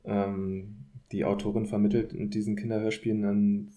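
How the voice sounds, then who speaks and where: A man in a thoughtful academic podcast discussion, speaking in a hesitant, measured way as an expert guest. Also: fairly low pitch, flat delivery, dark tone. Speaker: podcast guest, political scientist.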